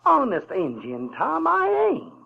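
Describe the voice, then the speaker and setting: An actor playing a folksy, earnest Southern American runaway boy who tells his adventures in halting, colloquial speech. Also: high voice, wildly swooping pitch, gravelly, husky, heavily acted. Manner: halting, colloquial, repeats words. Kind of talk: first-person storytelling in radio drama. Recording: dull 1970s recording with music.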